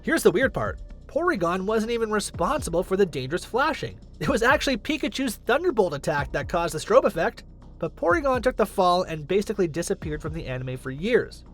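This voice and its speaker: A man narrates a scripted countdown video in a high, animated voice. He explains things with emphasis, while faint music plays behind him. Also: a little fast.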